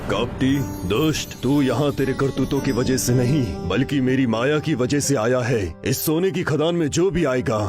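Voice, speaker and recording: A man's voice: mid-range voice, normal speed, hardly any pauses, over music, pitched below the performer's own voice, natural voice. A man voicing a vengeful sea god in a story, with stern, solemn pronouncements. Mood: wrathful.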